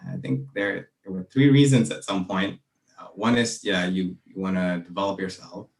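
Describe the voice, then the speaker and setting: A man's voice: deep voice, normal speed. A young man from Malaysia and Brunei, a podcast guest talking earnestly and reflectively about his work. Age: young adult. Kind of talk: casual interview. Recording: roomy audio from a distance.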